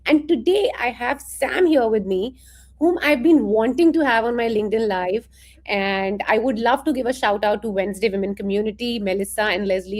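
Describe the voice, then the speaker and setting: A woman's voice: fairly high pitch, darkish tone. A woman hosting a live interview stream, introducing her guest enthusiastically over a video call.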